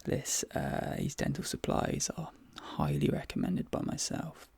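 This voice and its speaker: A man performs a soft-spoken ASMR dentist role play in a very gravelly, breathy voice. He talks slowly and haltingly, drifting into whispers, and sounds close and dry. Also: a little high, very animated, dry recording.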